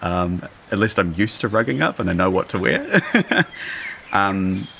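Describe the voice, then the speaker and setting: A man's voice: low-pitched, very animated, a little slow, gravelly, bright, forceful tone, slight background noise. A man, chatting in a casual outdoor interview with many fillers and frequent laughs. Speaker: interviewee, audio engineer. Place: outdoor deck.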